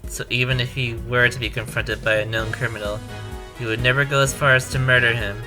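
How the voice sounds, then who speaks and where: A man's voice: medium pitch, fairly even intonation, fairly quick, over music, lightly acted. A man playing an earnest, determined defense attorney in a courtroom audio drama, arguing emphatically.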